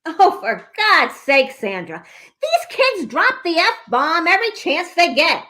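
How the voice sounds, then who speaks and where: A woman's voice: very high-pitched, sing-song, takes it slowly, sharp tone, full character voice. A woman playing a cranky, cynical old woman who is blunt and sardonic, in an amateur play reading. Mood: scornful. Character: cranky, foul-mouthed, hard-of-hearing old woman, cynical.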